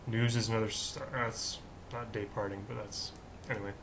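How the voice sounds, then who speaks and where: A man with a deep voice hosting his own daily vlog, rambling in a chatty, self-mocking way with lots of fillers. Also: little pitch movement, normal speed, fairly bright tone, slight background noise. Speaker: vlog host. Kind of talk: casual rambling vlog monologue.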